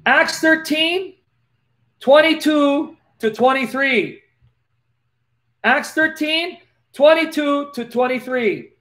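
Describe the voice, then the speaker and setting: High-voiced man with a lisp. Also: slow, long pauses. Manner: animated, preacherly, gestures while talking. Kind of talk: live Q&A, reading Bible verses aloud. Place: small dim indoor room. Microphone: laptop webcam, close.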